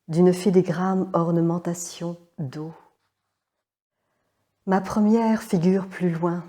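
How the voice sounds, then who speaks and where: Woman for solo poetry recital: lowish, extremely slow delivery, short phrases with long pauses, hushed. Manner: measured, pausing after short phrases.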